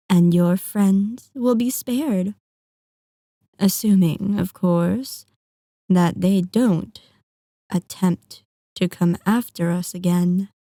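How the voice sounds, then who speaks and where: A woman playing a possessive, sadistic female vampire in an ASMR roleplay, seductive, menacing and condescending, teasing and savoring each phrase with pauses. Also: medium pitch, dark tone, no room sound, studio quality. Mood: silky menace. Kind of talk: scripted ASMR roleplay monologue.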